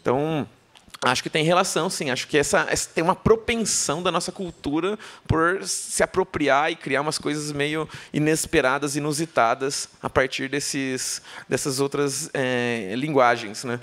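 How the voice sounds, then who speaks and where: A woman's voice: sits low, moderate pace. A woman author talking on a book fair stage before an audience, playful and digressive, often turning to ask the crowd questions. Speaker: author being interviewed. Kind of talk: live conversation on stage.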